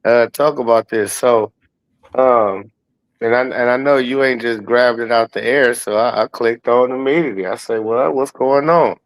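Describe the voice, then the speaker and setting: A man speaks in a clear, resonant voice at a middle pitch. He gives casual sports commentary as a guest, full of slang and profanity, circling back on words as he builds his point. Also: normal speed, low-quality recording. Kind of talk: casual sports talk with opinions and heavy slang.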